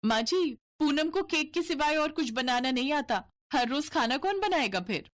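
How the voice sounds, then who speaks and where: A woman's voice: sits high, animated, moderate pace, a bit bright, voice pushed up. A woman voicing a jealous, haughty and bossy elder sister-in-law in a cartoon story. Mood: annoyed, complaining. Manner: taunting, bossy, cackling.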